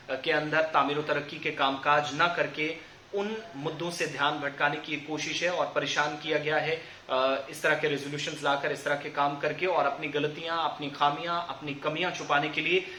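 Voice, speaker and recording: A male news presenter with a bright, forceful voice, pitched a little high. He reports in a wordy, explanatory way, restating points in other words, with fairly even intonation. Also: reverberant, slight background noise.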